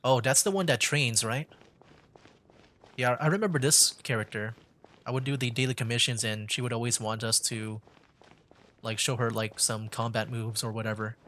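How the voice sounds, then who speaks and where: A man's voice: mid-range voice, steady tone, moderate pace, a bit bright, slight background noise. A man giving casual gameplay commentary as a streamer, thinking aloud in a hesitant way. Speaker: game streamer, virtual YouTuber.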